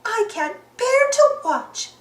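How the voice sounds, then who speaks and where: Storybook part: fretful, excitable girl duck read by a woman, very high-pitched, roomy echo. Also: slow pace, full character voice. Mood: worried. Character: excitable, fretful female cartoon duck friend.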